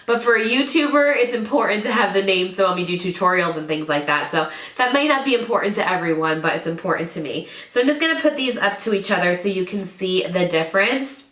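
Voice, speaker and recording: A woman's voice: mid-range voice, a bit bright. A woman in her thirties, slightly nasal as she gets over a cold, chatting enthusiastically to camera as a beauty YouTuber reviewing products at home. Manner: chatty, enthusiastic, stresses words by repeating them. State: recovering from illness. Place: home room with drawer units, indoors. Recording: echoey room.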